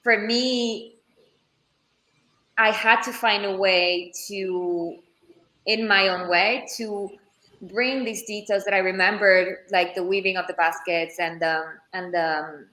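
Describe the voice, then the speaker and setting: A young woman from Ecuador with a bright, clear voice talks about her craft. She pauses and restarts as she hunts for the right word. The sound is echoey, as if she is on a video call from a room. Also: medium pitch, fairly even intonation. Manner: thoughtful, searching for words, many restarts. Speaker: leather craftswoman and entrepreneur. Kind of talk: interview answers. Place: Florence, Italy.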